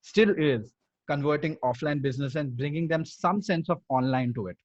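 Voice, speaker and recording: A man's voice: on the high side, normal speed. A young man with an Indian accent hosting a podcast in a casual, enthusiastic, rambling way, on slightly dull audio. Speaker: podcast host. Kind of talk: casual conversational interviewing.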